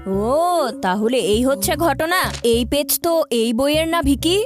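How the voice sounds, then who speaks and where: A woman voicing an excitable, scheming young boy, a bossy older brother, in a cartoon comedy. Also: high-pitched, normal speed, faint music behind. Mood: smug, scheming. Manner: animated, stammers when flustered. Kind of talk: cartoon dubbing in Bengali comedy.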